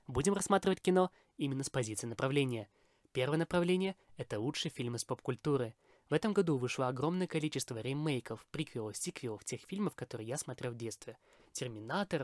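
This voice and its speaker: A man's voice: medium pitch, no echo, clean studio sound. A man hosting a podcast, reading a scripted, opinionated film review in formal phrasing.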